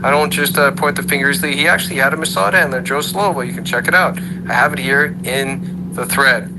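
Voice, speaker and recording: A man's voice: medium pitch, a little fast. Brief: male host, combative monologue, rhetorical jabs, mid-range voice, hum noise. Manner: sarcastic, rhetorical questions. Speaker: host of an audio chat room. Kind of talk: ranting monologue. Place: online audio room.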